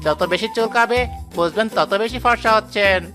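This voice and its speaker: A man's voice: high voice, fairly quick, over music, lightly acted. A man playing a sly village peddler in a cartoon moral drama, coaxing and persuasive as he gives instructions. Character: sly smooth-talking village peddler selling magic pills.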